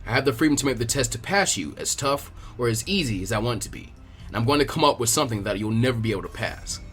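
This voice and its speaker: A man voicing a gruff, lazy adult ninja instructor in a story reading, dry, unhurried and dismissive. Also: mid-range voice, lively intonation, fairly bright tone, faint music behind, pitched below the performer's own voice, lightly acted. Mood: dry, threatening. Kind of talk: acted fan fiction reading.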